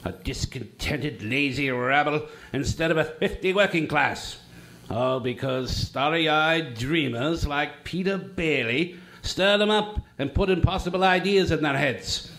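An actor playing a greedy, scornful old banker in an amateur radio play, talking in a cold, contemptuous, domineering way. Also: male voice, fairly high pitch, measured pace, fairly bright tone.

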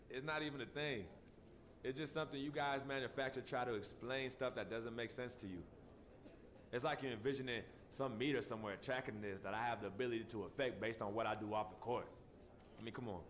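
A man's voice: mid-range voice, a bit bright, a little hiss or hum, natural voice. Man playing a brash young basketball star. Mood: curt, dismissive. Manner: brash, dismissive, slangy. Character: cocky young pro basketball star at press conference. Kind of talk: acted press conference answers for sports game.

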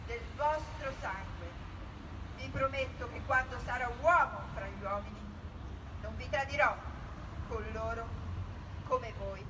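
Woman doing a hurt defiant jungle boy, high voice, far off, noisy background. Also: unhurried, long pauses, close to an everyday voice. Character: young man raised by wolves, proud and hurt. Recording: distant mic with noisy background.

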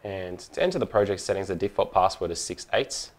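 A man's voice: deep voice, expressive, fairly quick, noisy background. A man presenting a hands-on product tutorial in an informal, methodical, step-by-step way.

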